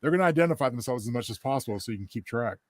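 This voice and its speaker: Fast-talking man, dry studio sound. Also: medium pitch, animated, dry recording. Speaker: podcast co-host. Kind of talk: casual chat and commentary.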